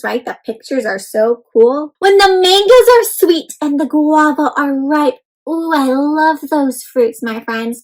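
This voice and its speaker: A woman reading a picture book aloud to children, expressive and full of questions, exclamations and little sound effects. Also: sits high, rough recording. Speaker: children's read-aloud storyteller.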